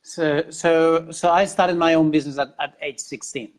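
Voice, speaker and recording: A man's voice: a little high, fairly even intonation. Spanish-accented man telling his story earnestly. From Spain, Catalonia. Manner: earnest, halting restarts, repeats words. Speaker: interview guest. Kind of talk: casual interview storytelling.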